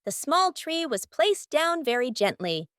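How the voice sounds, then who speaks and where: A woman's voice: sits high, big pitch swings, unhurried, fairly bright tone, no room sound, clean studio sound. A woman reading scripted narration for a children's cartoon story. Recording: music behind the voice.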